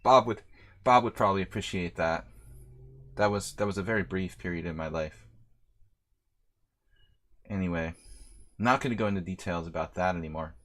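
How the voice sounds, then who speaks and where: Low-ish voiced guy streaming chess, joking at himself, muttering through moves. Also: fairly bright tone. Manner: chatty, self-deprecating, thinking aloud over moves. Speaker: chess streamer playing a simul. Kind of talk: live-stream commentary.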